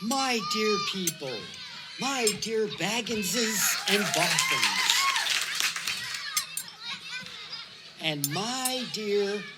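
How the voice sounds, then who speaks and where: A woman playing a jovial, eccentric elderly male hobbit in an audio drama, orating in a grand, deliberate manner. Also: medium pitch, wildly swooping pitch, very noisy. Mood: grandly jovial. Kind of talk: theatrical audio drama speech.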